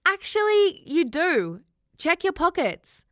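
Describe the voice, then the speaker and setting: A confident, impatient girl pilot, played by a woman audiobook reader, speaks up high with breezy sarcasm. She is assertive and slangy, and the sound is close and dry. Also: sing-song, slow pace, fairly bright tone, no room sound, full character voice. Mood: urgent, bossy. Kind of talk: audiobook character dialogue.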